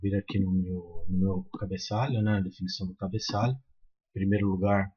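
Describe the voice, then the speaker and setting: A man's voice: low-pitched, flat delivery, a little fast, breathy edge. A man explaining code step by step in a screen-recorded tutorial, with many fillers and restarts. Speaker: programming tutor. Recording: muffled lo-fi sound.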